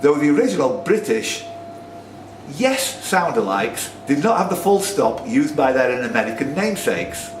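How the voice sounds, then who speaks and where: A man's voice: mid-range voice, big pitch swings, moderate pace. A man hosting a live quiz, reading out questions and bantering in a teasing, jokey way. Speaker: quiz master. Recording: roomy, noisy recording from a distance.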